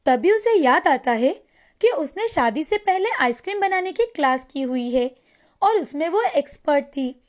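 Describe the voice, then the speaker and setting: High-voiced woman storyteller. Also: lively intonation, measured pace. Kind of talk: scripted narration of a moral story. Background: music.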